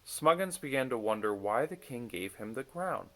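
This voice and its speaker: A man reading a bedtime story aloud in an expressive storytelling style. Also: medium pitch, normal speed. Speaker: story reader.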